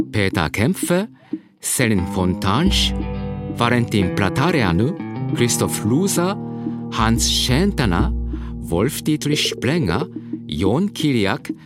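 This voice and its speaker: A man announcing scripted radio play credits as a formal announcer. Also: on the low side. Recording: prominent guitar music behind.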